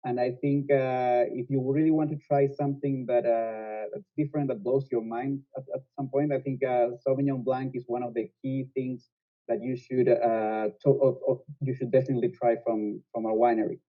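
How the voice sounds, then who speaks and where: A man's voice: medium pitch, flat delivery, moderate pace. A man in his thirties, a sales manager giving earnest interview answers with frequent restarts. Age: 30s. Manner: earnest, many restarts and fillers. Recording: dull lo-fi sound.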